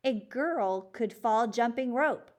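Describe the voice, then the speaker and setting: A woman's voice: fairly high pitch, expressive, slow, a little mellow, pitched above the performer's own voice. A woman voicing an earnest, insistent schoolboy. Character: Julio, earnest schoolboy speaking up bravely to principal.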